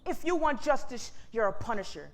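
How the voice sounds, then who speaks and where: A woman playing a sharp-tongued, sardonic young small-town woman in a staged play reading. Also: fairly high pitch, sing-song, brisk.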